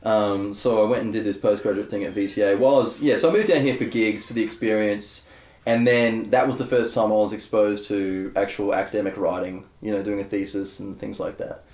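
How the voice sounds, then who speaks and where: A young adult man, a bass player talking candidly in a casual interview, rambling with many fillers and restarts. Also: fairly even intonation, brisk, a little mellow. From Australia. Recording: echoey room.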